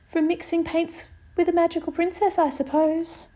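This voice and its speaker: A woman's voice: very high-pitched, flat delivery, moderate pace, warm tone, pitched above the performer's own voice. A woman voicing a kind, eager, confident little girl in a children's storybook, with a reassuring delivery. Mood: innocent.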